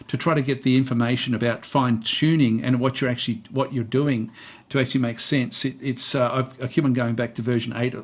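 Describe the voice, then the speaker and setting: A man with a mid-pitched voice presents a software walkthrough in an informal, off-the-cuff way. He rambles and hesitates, circling back over the same points as he explains each step. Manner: rambling, hesitant, repeats himself. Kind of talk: casual unscripted screen-recorded tutorial and review.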